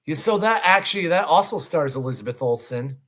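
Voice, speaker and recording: A man co-hosting a movie countdown show, chatting casually with a hesitant, halting delivery. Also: on the high side, expressive, fairly bright tone. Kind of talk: casual film chat.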